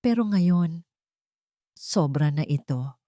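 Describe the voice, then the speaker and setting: A female narrator plays an older Filipino woman in an audiobook drama, timid yet fed up. She speaks softly and urgently, pleading as she confesses, in a slightly low voice with a dry sound. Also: animated, takes it slowly, no room sound. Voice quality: soft-spoken.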